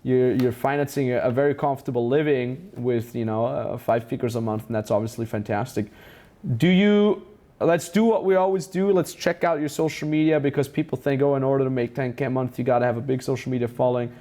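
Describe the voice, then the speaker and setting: A man's voice: medium pitch, fairly quick, dark tone. A man with a German accent hosting a casual interview as a business mentor, enthusiastic and full of praise. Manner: enthusiastic, praising, many restarts.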